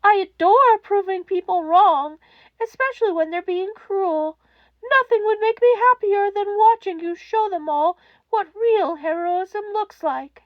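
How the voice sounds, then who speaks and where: A little animal schoolmaster, played by a woman in an audiobook, kindly and earnest. He speaks in a high, lilting voice with precise, gentle phrasing. The recording is dry and slightly muffled. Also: a little slow, no echo. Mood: bright, encouraging. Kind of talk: audiobook character acting.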